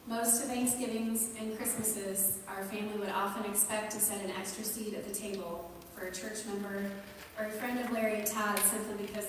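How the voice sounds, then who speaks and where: A woman delivers a prepared family eulogy in measured phrases at a middling pitch. Her voice rings through a very reverberant hall, picked up from a distance. Clear noise sits behind it. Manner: measured reading in short listed phrases. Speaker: family member giving a eulogy. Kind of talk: prepared memorial tribute. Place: large indoor memorial gathering space. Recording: echoey hall, distant mic, noisy.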